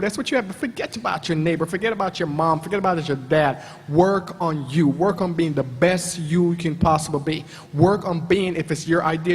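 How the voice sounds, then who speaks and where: Emphatic husky man in a reverberant hall. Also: a little high. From Jamaica. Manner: emphatic, repeating phrases for effect. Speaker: panelist. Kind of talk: passionate motivational panel talk.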